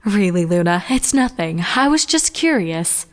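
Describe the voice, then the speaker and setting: A woman's voice: on the low side, big pitch swings, measured pace, long reverb tail. A woman voicing a weary, dry-humored pony princess in a storybook reading, with a sighing, sarcastic, grumbling manner. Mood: tired, evasive.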